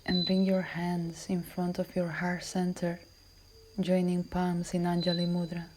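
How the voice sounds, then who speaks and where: Very slow, soft-spoken woman guiding yoga. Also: lowish voice, noisy background. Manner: calm, measured, soothing. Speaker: yoga instructor. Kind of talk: guided yoga and relaxation instruction.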